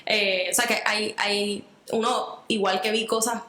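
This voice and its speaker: A woman's voice: mid-range voice, flat delivery, a little slow, bright, forceful tone, roomy sound. A Puerto Rican woman speaking earnestly in an interview, reflecting at length with fillers and restarts. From Puerto Rico. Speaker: guest. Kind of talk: interview conversation.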